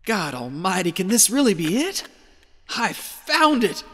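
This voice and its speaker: Feverish, echoing treasure hunter. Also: male voice, extremely high pitch, sharp tone, full character voice. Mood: manic, gloating. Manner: folksy, exclamatory, muttering to himself. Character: feverish, greedy male treasure hunter descending into madness. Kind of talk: audio drama monologue acting. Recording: echoey cave reverb with music behind.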